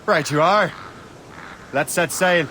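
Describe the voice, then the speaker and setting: A man's voice: a little high, slow. A man playing a brash young Assassin sailor in a video game, with casual, cheeky, teasing delivery. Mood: casual, questioning. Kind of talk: video game dialogue acting. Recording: noisy outdoor ambience behind.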